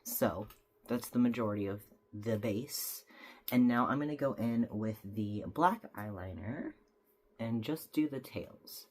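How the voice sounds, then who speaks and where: A woman's voice: extremely low pitch, lively intonation, unhurried, a little mellow, slight background noise. A young woman, slightly stuffy from a cold, chatting casually as she explains each step of a makeup tutorial.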